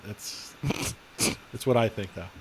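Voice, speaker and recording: A man co-hosting a podcast in relaxed casual chat, halting and hesitant with fillers. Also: on the low side, slightly rough, low-quality recording. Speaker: podcast co-host.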